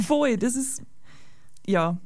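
An Austrian woman with a soft Austrian German accent speaks in an easygoing, agreeable way, often repeating words mid-thought. Her voice sits mellow and dark, captured dry and close with no room sound. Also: a little high, animated, drawn-out words, no room sound. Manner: conversational, agreeable, repeats words as she searches. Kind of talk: casual conversation.

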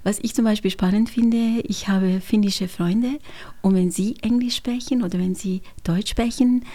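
A Hungarian-born woman, a German teacher, talking as a guest in a thoughtful, measured way with pauses and fillers. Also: mid-range voice, fairly even intonation, warm tone. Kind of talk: radio conversation.

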